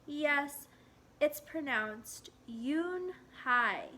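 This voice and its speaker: A woman voicing a kindly, courteous male shopkeeper in a storybook, speaking in a measured, helpful way. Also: sits high, animated, sharp tone, exaggerated voice, poor audio. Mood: welcoming.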